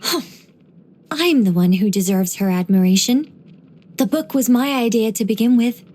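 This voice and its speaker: A woman voicing a gentle, wise young angelic woman in game voice lines, soft and wryly musing. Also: a little high, normal speed, close to an everyday voice.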